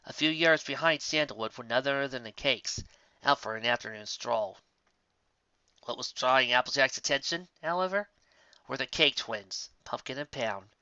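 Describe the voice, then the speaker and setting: A man's voice: mid-range voice, normal speed, pushed and bright, clear, resonant tone, no room sound. A man reading a story aloud as scripted narration. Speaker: story reader. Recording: dull, muffled, very dry.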